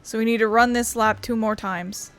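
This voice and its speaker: High-voiced, blunt woman gaming. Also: fairly even intonation, normal speed, fairly bright tone. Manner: grumbling, blunt, swears casually. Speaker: gaming video host. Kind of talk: casual let's-play commentary. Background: game music.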